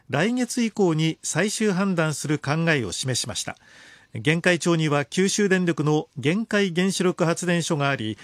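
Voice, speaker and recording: A man reading scripted news in a formal, measured way. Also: fairly high pitch, dry recording. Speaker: newsreader.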